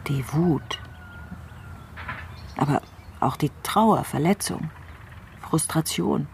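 An actress playing a troubled, brooding politician in a crime radio play, speaking reflectively and intensely, sometimes halting. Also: female voice, sits low, gravelly, darkish tone, a little hiss or hum, exaggerated voice. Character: troubled, brooding female politician doubting the official truth. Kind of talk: dramatic radio play acting.

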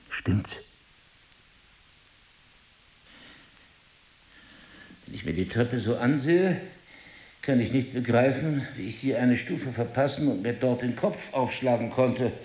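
An actor playing an intense, probing husband in an intimate audio drama, speaking in an eloquent, insistent way. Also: male voice, medium pitch, a little mellow, breathy edge. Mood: suspicious, searching. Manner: insistent, questioning, theatrically eloquent. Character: intense, probing crime-writer husband with memory loss. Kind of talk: intimate two-person audio drama acting.

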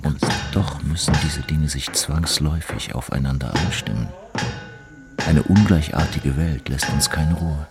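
A man reading diary entries as a scripted dramatic narration, in a deliberate, literary manner. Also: extremely low pitch. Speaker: narrator of an audio piece. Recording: loud music mixed in.

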